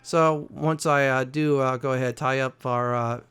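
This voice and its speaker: Gravelly but clear man narrating gameplay. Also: mid-range voice, steady tone, fairly bright tone. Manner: halting, frequent hesitations. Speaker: gaming channel host. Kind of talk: casual gameplay commentary.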